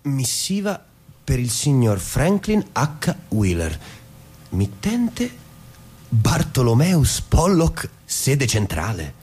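An actor in a radio drama playing a pompous company executive, declaiming a formal business letter. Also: male voice, medium pitch, wildly swooping pitch, slow pace, darkish tone, soft background music.

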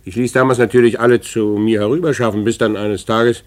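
A man's voice: medium pitch, steady tone, fairly quick, lightly acted. An actor playing a sly, self-satisfied older businessman with feigned geniality in a 1950s German radio play. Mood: smug, insinuating.

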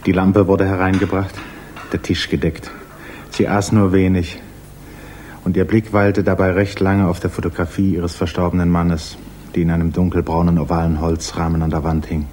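A man narrating a 1950s German radio drama in a measured, grave literary style over a hissy old recording. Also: deep voice, little pitch movement, a little mellow.

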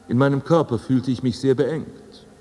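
A man's voice: medium pitch, moderate pace, warm tone, slightly rough, natural voice. A man playing a haughty, self-assured dead aristocrat in a radio drama, speaking in formal, ornate and pompous phrases. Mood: pompous, wry. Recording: long hall reverb, music underneath.

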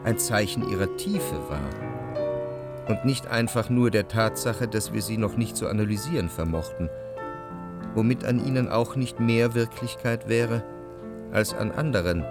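An actor playing an introspective, doubting gentleman who narrates his love in a literary radio drama. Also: lowish voice, a little slow. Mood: reflective, doubting. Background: music.

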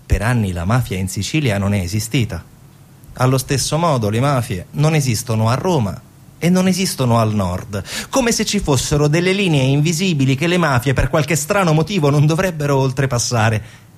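A man with a husky voice giving scripted dramatic narration for a theatre piece. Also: medium pitch, expressive, moderate pace.

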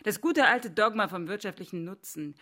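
A woman's voice: medium pitch, animated, moderate pace, fairly bright tone. An actress playing a defiant, outraged woman who challenges others sardonically in a radio drama. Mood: sardonic. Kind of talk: German radio drama acting.

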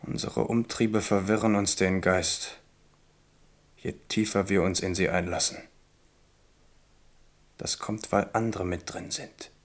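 Radio drama king, lowish voice, brooding, measured, disillusioned yet resolute. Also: steady tone. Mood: bitter, brooding. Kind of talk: German radio drama acting.

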